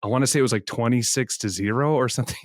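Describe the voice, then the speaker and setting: A man's voice: medium pitch, very fast, dry recording. Male podcast host from the Netherlands, chatty and upbeat, dry close sound. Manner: chatty, enthusiastic, self-deprecating. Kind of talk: casual interview chat.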